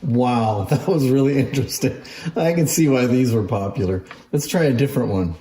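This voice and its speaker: A man with a relaxed, dark-toned voice presents in an informal, unscripted way, showing things hands-on with clear interest. The room adds noticeable echo, and a faint noise sits behind his voice. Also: mid-range voice, normal speed. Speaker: presenter demonstrating antique phonograph toys. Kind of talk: casual hands-on show-and-tell.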